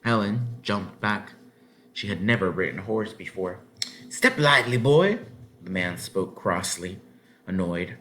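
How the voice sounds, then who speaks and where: A man narrating a children's novel aloud in an expressive storybook style. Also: low voice, measured pace.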